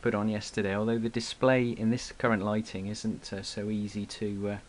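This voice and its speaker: Lowish-voiced man, halting delivery, noisy recording. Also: little pitch movement. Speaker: radio hobbyist presenting gear. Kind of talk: unscripted product demonstration. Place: indoor room at a radio desk. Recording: clear background noise, clicks.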